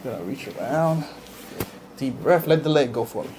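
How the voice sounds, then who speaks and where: Higher-pitched male chiropractor coaching a patient. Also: expressive, moderate pace, darkish tone, slight background noise. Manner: coaching, repeats short reassurances. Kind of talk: calm hands-on instruction to a patient. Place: small indoor treatment room.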